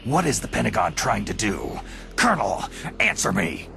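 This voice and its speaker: Game hero, hardened American soldier: deep, rough, fry-laden growl, clipped and intense, music underneath. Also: male voice, big pitch swings, moderate pace, pushed and bright, heavily acted. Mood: urgent. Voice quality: gravelly growl. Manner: terse, intense, incredulous questioning. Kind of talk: dramatic video game acting. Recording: background music under the dialogue.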